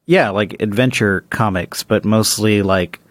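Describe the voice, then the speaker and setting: Male co-host, somewhat low voice, dry recording, conversational with emphatic opinions. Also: a little slow, no echo. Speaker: podcast co-host. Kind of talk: casual comic book discussion.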